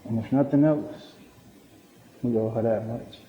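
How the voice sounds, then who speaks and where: A man's voice: mid-range voice, steady tone, measured pace, natural voice. An actor in a stage play, playing an earnest middle-aged father and hard drinker who is resolving to change. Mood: earnest, hopeful. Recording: muffled roomy stage recording, faint noise.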